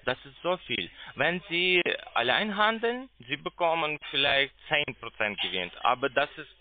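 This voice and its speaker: A man with a foreign accent, not a native speaker, making a halting sales call and searching for words in broken phrasing. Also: on the high side, big pitch swings, a little hiss or hum. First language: not German. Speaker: phone sales agent pitching investments. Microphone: telephone. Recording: tinny, muffled phone line.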